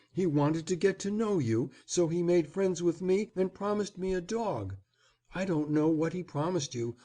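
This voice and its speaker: Man putting on a defiant young boy, high-pitched, cold and insistent, storybook voice. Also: warm tone. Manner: cold and insistent, low intensity.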